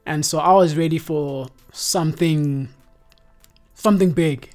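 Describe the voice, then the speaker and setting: A man's voice: fairly high pitch, measured pace, soft background music. A man in his twenties from South Africa, hosting a casual podcast chat and rambling easily with lots of fillers. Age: 20s. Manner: relaxed, rambling with many fillers. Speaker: podcast host.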